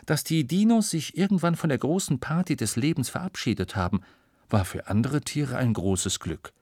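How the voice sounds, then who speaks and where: A man's voice: mid-range voice, animated, moderate pace, darkish tone, dry recording. Male storyteller in a very dry studio. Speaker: audiobook narrator. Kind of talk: scripted narration of a children's science audiobook. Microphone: close studio microphone, dry.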